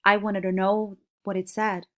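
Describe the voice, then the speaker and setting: Woman acting bearded Talib man, widower, grieving, curt, quiet storybook figure. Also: mid-range voice, flat delivery, measured pace, close to an everyday voice. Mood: somber, curt.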